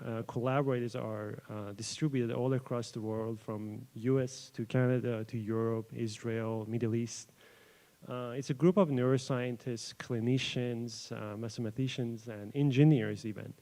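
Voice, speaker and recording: A young man from Iran, a Persian speaker, presents research to a live audience at a summit. His voice sits at an ordinary middle pitch. His delivery is loose and informal, broken by frequent ums, uhs and restarted phrases as he speaks into a podium microphone. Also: steady tone, normal speed, dark tone. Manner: hesitant, frequent fillers and restarts. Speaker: doctoral researcher giving a conference talk. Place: event room at a live summit. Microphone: microphone adjusted at the podium.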